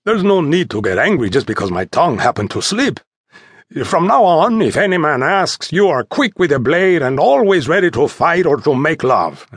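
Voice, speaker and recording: A man voicing a boastful, loud and hearty soldier sergeant in an audiobook, blustering and full of exclamations. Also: a little high, big pitch swings, moderate pace, slightly rough, dry recording, clean studio sound, voice pushed up, full character voice. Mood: alarmed, flattering. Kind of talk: audiobook character voice.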